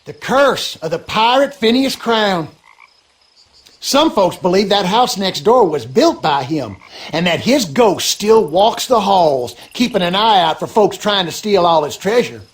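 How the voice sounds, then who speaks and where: High-pitched folksy Southern swamp yokel. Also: male voice, animated, measured pace. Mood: confiding. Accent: rural Louisiana Southern American. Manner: folksy, drawling, colloquial storyteller. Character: gossipy superstitious Southern backwoods swamp husband. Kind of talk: animated game character dialogue.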